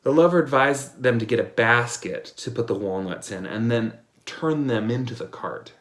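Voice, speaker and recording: A man's voice: medium pitch, normal speed, echoey room. A man reading fairy tales aloud in a playful storytelling manner. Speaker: storybook reader.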